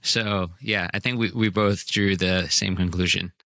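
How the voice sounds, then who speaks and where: A man hosting a podcast, with a low voice that has a very sharp, bright edge. He speaks eagerly and often trips over and repeats his words. The sound is dry and close. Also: fairly even intonation, unhurried, no room sound. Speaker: podcast host. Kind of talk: casual interview talk.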